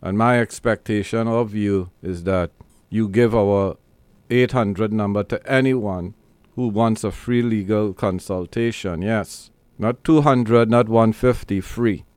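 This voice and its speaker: A man's voice: fairly low pitch, fairly even intonation, a little slow, darkish tone, smooth, dry recording. A man born in the Caribbean hosting a radio talk show, speaking emphatically with a lot of repetition. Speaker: radio show host and lawyer.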